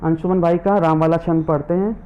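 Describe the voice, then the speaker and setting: Brief: a high-voiced man, a poet and teacher, reading humorous couplets live and greeting viewers between them. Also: flat delivery, fairly quick, lo-fi sound. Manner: repeats each verse line, chants devotional verses. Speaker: government teacher. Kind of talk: live online poetry recitation.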